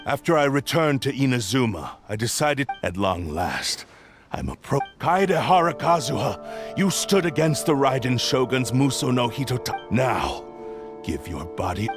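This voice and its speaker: An actor playing the proud, vengeful spirit of a cursed sword in a video game, speaking in pompous, menacing, formal phrases. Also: male voice, mid-range voice, sing-song, a little slow. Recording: music under the voice.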